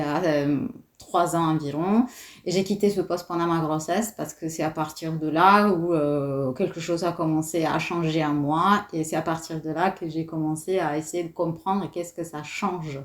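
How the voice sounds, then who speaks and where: A woman in her thirties speaks French with an Italian accent, her voice pitched low for a woman. She talks as a guest, pausing often with fillers as she searches for words. The room around her rings with noticeable echo. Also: fairly even intonation. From Italy. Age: 30s. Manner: hesitant, many fillers. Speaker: guest, doula. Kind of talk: casual interview talk.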